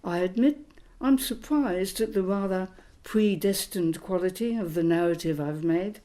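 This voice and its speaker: A woman in her eighties, a historian, reading a reflective talk in a measured, literary way. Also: on the low side, dark tone. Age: 80s. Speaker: historian giving a recorded talk. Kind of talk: reflective scripted lecture.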